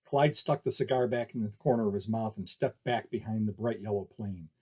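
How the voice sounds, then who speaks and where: A man's voice: fairly low pitch, a little fast, darkish tone, slightly rough. A man reading scripted fiction aloud as a narrator.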